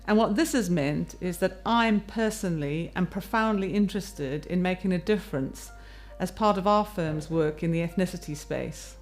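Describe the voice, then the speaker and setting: A woman who is a partner at a firm, speaking earnestly and in a measured way in a corporate testimonial. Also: lowish voice, faint music behind. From England.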